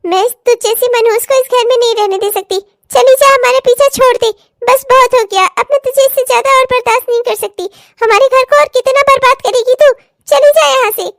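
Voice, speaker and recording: A woman's voice: very high voice, steady tone, a little fast, gravelly, no room sound, studio quality. A woman playing a harsh, superstitious mother-in-law in a melodramatic family story, scolding and dramatic. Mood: angry, accusing. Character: harsh, superstitious mother-in-law, doting on her own daughter.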